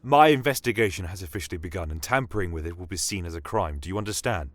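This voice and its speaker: A man playing a courteous but firm inquisitor of the church in a fantasy audio tale, speaking deliberately and with authority. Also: deep voice, animated, no echo. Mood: stern.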